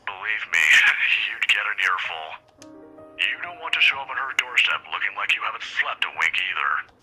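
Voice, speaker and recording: An actor playing a mild-mannered, caring middle-aged male professor in a visual novel, gentle and earnest through a telephone filter. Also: on the low side, very animated, moderate pace, heavily acted, low-quality recording. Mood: relieved, fond. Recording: phone-filtered voice over music.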